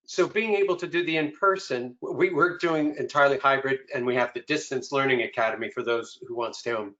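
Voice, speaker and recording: A man's voice: mid-range voice, fairly bright tone. A man speaking informally as a board member, following up with colleagues in an online meeting. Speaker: school board member asking a question. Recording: muffled video meeting audio.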